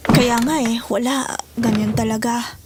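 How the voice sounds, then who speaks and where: A woman's voice: on the high side, steady tone, unhurried, darkish tone, slight background noise, close to an everyday voice. A woman playing a caring, worried Filipino wife and mother in an emotional family drama. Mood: soothing.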